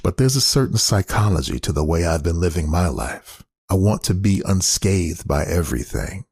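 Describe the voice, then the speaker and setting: A man with a low, rough-edged voice reads poetry aloud. He is measured and deliberate, and the recording is very dry and close. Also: lively intonation, a little mellow, no room sound. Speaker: poetry reader. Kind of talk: literary poetry reading.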